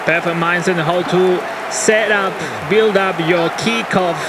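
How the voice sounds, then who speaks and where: Male esports analyst, high-pitched, short clipped phrases, heavy crowd and noise behind. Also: steady tone, a little slow. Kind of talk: analytical match commentary. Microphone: headset. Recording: loud crowd and background noise.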